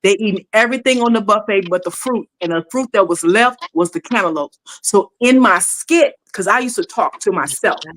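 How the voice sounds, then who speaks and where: Lively woman in her sixties telling stories. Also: mid-range voice, a little fast, few pauses, poor audio. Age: 60s. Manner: animated, laughs often, acts out quoted voices. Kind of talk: casual storytelling chat.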